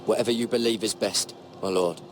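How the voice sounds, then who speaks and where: A young actor playing a humble, loyal squire in a fantasy video game, speaking in a polite, deferential way. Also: male voice, on the low side, fairly even intonation, a little fast, natural voice. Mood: humble, earnest. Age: young adult. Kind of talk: earnest fantasy game acting. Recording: music behind the voice.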